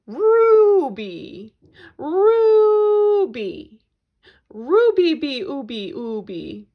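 Lady doing a friendly little girl bird from a storybook, chirpy, pitched up high, super expressive. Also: extremely slow, dull audio, exaggerated voice. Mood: bright, chirpy. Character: small, friendly, eager young female bird in a storybook.

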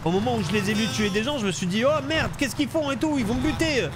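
A man streaming an improvised game roleplay, talking in an excitable, theatrical way. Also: very high-pitched, quick pace, over music. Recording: noisy game sound behind voice.

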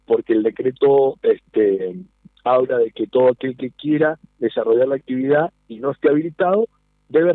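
A man's voice: mid-range voice, no echo. A man in his thirties with an Argentine accent, explaining a policy earnestly over a phone interview. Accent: Argentine Spanish. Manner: earnest, explanatory, asks for agreement. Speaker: nightlife business representative. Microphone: phone call. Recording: tinny phone line.

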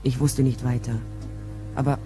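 A woman's voice: extremely low pitch, flat delivery, moderate pace, warm tone, soft background music, low-quality recording. An actress playing a tough, persistent woman private detective in a crime radio play, dry, probing and direct. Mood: dry, reflective. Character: tough, persistent female private detective, dryly world-weary. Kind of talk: crime radio play acting and first-person narration.

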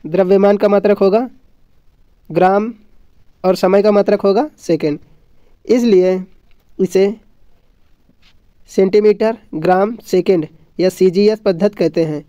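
A man's voice: sits high, mellow, clean tone. A man teaching an exam lesson from notes, didactically repeating points and answering his own questions. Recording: dull lo-fi, roomy echo.

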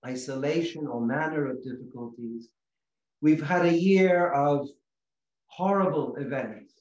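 A middle-aged man, an Orthodox priest, giving a sermon in a measured, earnest way with emphatic phrasing, recorded in a small room. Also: on the high side, a little mellow. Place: small room chapel in a house, indoors. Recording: echoey room, slightly dull sound.

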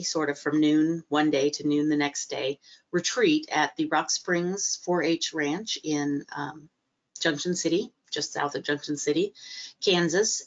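Low-voiced woman with a cold on a video call. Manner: hesitant, many fillers and restarts. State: recovering from a cold, a little stuffy. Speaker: guest speaker, library trainer. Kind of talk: webinar talk. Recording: muffled video-call audio.

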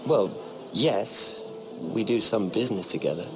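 A man's voice: fairly low pitch, expressive, a little slow, background noise. An actor playing a nervous, awkward nobleman in a fantasy game, hesitant, apologetic and self-deprecating. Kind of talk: dramatic fantasy game acting.

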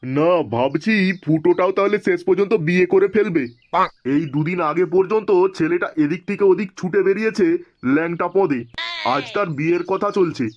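A man's voice: sits high, fairly quick, natural voice. A man voicing a bossy, teasing young villager in a comedy cartoon, talking bluntly and coarsely among friends. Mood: musing. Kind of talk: animated comedy cartoon dialogue. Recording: dull lo-fi audio, faint noise.

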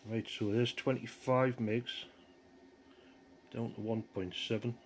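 A man's voice: medium pitch, steady tone, takes it slowly. Casual man, noisy recording. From North East England. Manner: informal, off-the-cuff commentary. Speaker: amateur radio hobbyist demonstrating equipment. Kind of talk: casual hands-on video demonstration. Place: outdoors in a yard, then a small living room. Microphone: handheld phone camera behind the view.

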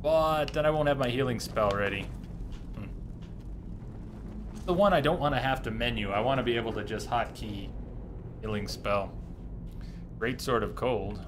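A man's voice: medium pitch, normal speed, pauses often, noisy background. A man in his thirties giving casual live commentary as he streams a video game. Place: indoor streaming setup. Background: game music.

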